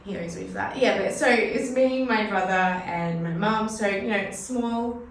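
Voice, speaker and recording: Australian woman, 30s, podcast guest; relaxed, reflective talk; very reverberant room, distant mic. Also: mid-range voice, a little slow. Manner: chatty and reflective, many fillers like "you know". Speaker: finance broker, podcast guest. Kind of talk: casual conversational interview. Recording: echoey room, mic at a distance, faint noise.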